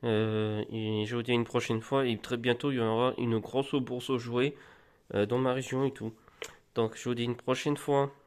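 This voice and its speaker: A man in a mid-pitched voice rambles through a casual show-and-tell of flea-market toys. He's a little stuffed up from a cold. He stops and restarts his sentences often as he goes. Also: little pitch movement, darkish tone, smooth. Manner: rambling, halting, many restarts. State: slightly congested from a cold. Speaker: collector vlogger.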